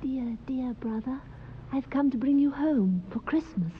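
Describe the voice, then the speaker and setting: An actress playing an eager, loving young girl, gushing with excitement, in an old radio drama. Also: high voice, measured pace, a little hiss or hum. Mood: joyful, affectionate. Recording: thin, tinny vintage radio broadcast.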